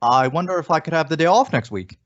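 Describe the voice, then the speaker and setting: A man playing a polite, hesitant employee and husband in an old-time radio sitcom, speaking haltingly and meekly. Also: fairly high pitch, animated, close to an everyday voice. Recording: dull, dry lo-fi radio recording.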